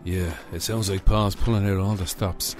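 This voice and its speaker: A man's voice: deep voice, fairly even intonation, moderate pace, a little mellow, natural voice. A man playing a blustering, sceptical club boss in a comedy radio serial, dry and incredulous.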